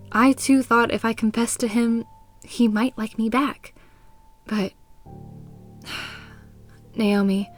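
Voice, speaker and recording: A woman plays a frank, caring young woman in a visual novel. Her voice sits somewhat high and her delivery is earnest and candid, with faint music underneath. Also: no echo, lightly acted.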